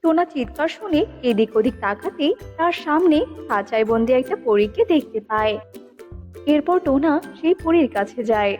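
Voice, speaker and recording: High-pitched woman narrating a cartoon tale. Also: expressive, normal speed, darkish tone. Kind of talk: scripted children's story narration. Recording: dull lo-fi audio with music bed. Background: music.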